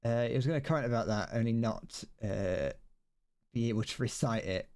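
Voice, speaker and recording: A man's voice: medium pitch, fairly quick, darkish tone, no echo. A young man streaming as he builds a model kit, chatting casually, rambling, correcting himself and stumbling over his words.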